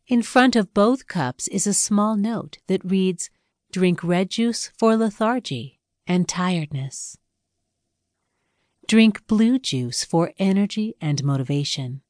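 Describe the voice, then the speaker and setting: A woman narrating a scripted guided meditation in a measured, soothing, instructional way with pauses between phrases. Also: medium pitch, no room sound, studio quality.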